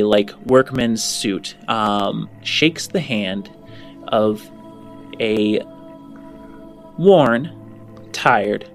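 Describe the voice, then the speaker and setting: A man hosting a tabletop game show, talking casually and improvising descriptive narration in fragments as game master. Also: fairly low pitch.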